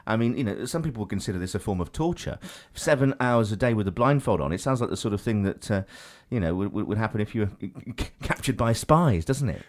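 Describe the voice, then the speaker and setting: A man presenting a radio show, his pitch swinging up and down as he talks. He trips over his words here and there and laughs between questions. He sounds like a breezy morning-show host. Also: lively intonation, quick pace, hardly any pauses. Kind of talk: radio interview.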